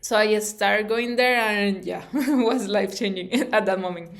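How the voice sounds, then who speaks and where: A woman's voice: mid-range voice, normal speed. Costa Rican woman speaking accented English. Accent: Costa Rican Spanish. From Costa Rica. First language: Spanish. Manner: earnest, non-native English phrasing. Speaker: YouTube channel host and interviewer. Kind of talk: informal personal talk to camera.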